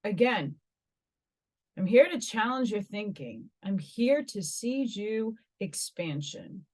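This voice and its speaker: A woman's voice: mid-range voice, sing-song, slow pace, darkish tone. An American woman from New York hosting a vlog, reading articles aloud and breaking off into musing, dramatic asides. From New York, United States. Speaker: host of a metaphysical vlog. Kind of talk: reading articles aloud with rambling personal commentary.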